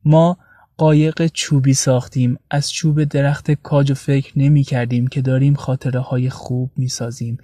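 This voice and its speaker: A man's voice: mid-range voice, flat delivery, warm tone, clean studio sound. A man reading scripted, intimate prose expressively, with a tender and wistful delivery. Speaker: podcast narrator. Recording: roomy echo, faint music behind.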